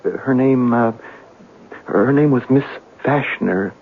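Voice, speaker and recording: An actor playing a troubled young man in his late twenties, halting and distracted, in an old-time radio drama. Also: medium pitch, creaky. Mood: troubled, searching. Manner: halting, soft-spoken, distracted. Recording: tinny, noisy vintage radio recording.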